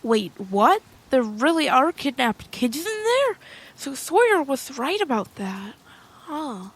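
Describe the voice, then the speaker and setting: A woman's voice: sits high, sing-song, takes it slowly, voice pushed up, exaggerated voice. A woman voicing a chatty, eager and nervous young girl adventurer in an audio storybook, rambling and wide-eyed. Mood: curious, determined.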